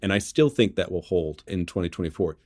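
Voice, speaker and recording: Deep-voiced man giving measured political analysis. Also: a little mellow. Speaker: journalist and author, interview guest.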